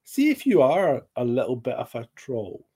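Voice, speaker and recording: Guy, husky voice, casual podcast banter, laughs a lot. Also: mid-range voice, huge pitch swings, moderate pace, mellow. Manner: swears casually and laughs often. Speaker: podcast co-host. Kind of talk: casual chat.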